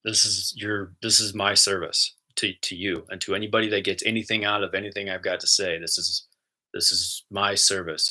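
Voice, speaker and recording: A man with a fairly low voice that still has a bright edge, hosting a spiritual talk. He chats in a relaxed, easygoing way. When he guides the breathing he slows down and goes soft. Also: rough recording. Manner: easygoing and chatty, soft and slow when guiding. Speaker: spiritual host and intuitive channeler.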